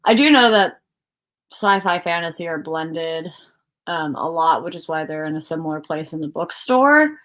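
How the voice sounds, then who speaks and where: Woman chatting on a livestream, kinda low voice, rambly and informal. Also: lively intonation, moderate pace, fairly bright tone. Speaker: co-host of a book discussion livestream. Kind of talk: casual livestream chat.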